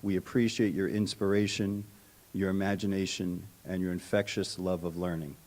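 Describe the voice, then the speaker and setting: A man with a fairly low voice speaks as a school administrator. He delivers a prepared tribute at a public meeting, slipping in informal asides. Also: flat delivery, moderate pace, darkish tone.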